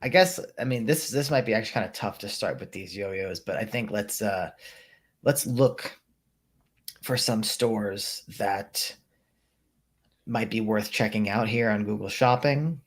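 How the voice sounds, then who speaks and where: A man's voice: fairly low pitch, measured pace. A man co-hosting a livestream in casual chat, playful and laid-back, often laughing. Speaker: co-host.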